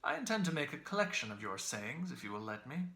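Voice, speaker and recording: A man reading a novel aloud as a polite, earnest young English gentleman with a formal, courteous manner. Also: medium pitch, big pitch swings, fast, reverberant, voice pushed up. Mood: amiable, earnest. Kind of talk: literary audiobook character reading.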